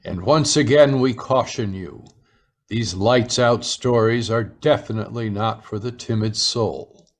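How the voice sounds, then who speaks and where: A man announcing in the ominous, deliberate style of an old-time radio horror introduction, solemn throughout. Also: lowish voice.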